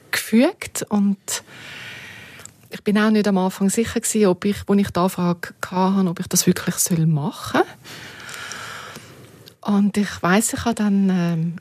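Woman, Swiss German accent, raspy edge, very dry recording, informal interview guest. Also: mid-range voice, a little mellow, no echo. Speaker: interview guest, radio journalist. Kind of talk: informal reminiscing conversation.

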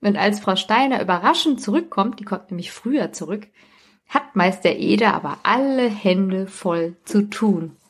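A woman's voice: medium pitch, expressive, normal speed, breathy edge. A woman talking casually as a podcast co-host, retelling stories in a conversational way.

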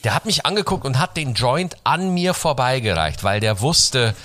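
A man's voice: medium pitch, very animated, normal speed, pushed and bright. A young man hosting a casual podcast chat, jokey and slangy, with a cold. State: has a cold. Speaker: podcast host.

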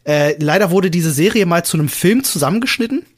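A man's voice: high-pitched, animated, a little fast. A man co-hosting a podcast in casual chat, explaining things with enthusiasm. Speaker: podcast co-host.

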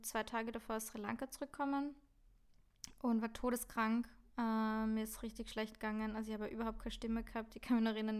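A woman's voice: fairly high pitch, smooth. A woman, co-hosting a podcast in a casual chat, talking with many fillers and English words mixed in. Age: 20s. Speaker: podcast co-host. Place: Vienna.

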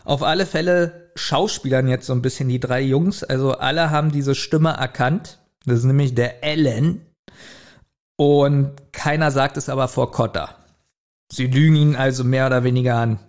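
A man's voice: mid-range voice, steady tone, moderate pace, darkish tone. A man, casually explaining things as a podcast host in an informal, conversational way. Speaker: podcast host reviewing audio dramas. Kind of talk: casual chat.

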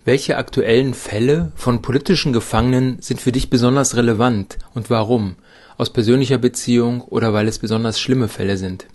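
A man asking interview questions in a calm, measured way as podcast host. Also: medium pitch.

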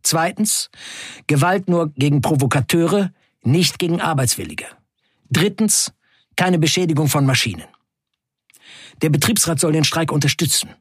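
A man playing a defiant factory worker in an audio drama, narrating in the first person with emphatic, measured delivery and a husky voice. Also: a little high, animated, no room sound, clean studio sound. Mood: determined. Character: defiant male Turkish factory worker recounting a wildcat strike.

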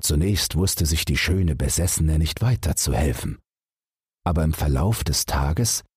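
A man with a low, very husky voice narrates a fairy tale for bedtime. His delivery is measured and dramatic, with lively swings in intonation. The sound is close and dry, like a polished audiobook. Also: no echo.

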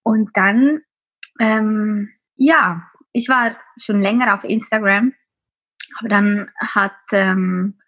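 Swiss woman, husky and close. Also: medium pitch, slow pace, no echo, lo-fi sound. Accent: Swiss German. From Switzerland. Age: 20s. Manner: open, many filler sounds. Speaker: podcast guest, teacher and blogger. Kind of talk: casual interview talk.

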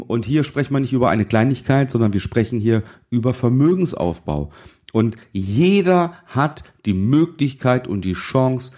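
A man's voice: mid-range voice, mellow. A man in his forties giving a motivational monologue as a financial advisor, addressing the listener directly in an insistent, exhorting manner with rhetorical questions. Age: 40s. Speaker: financial advisor and podcast host.

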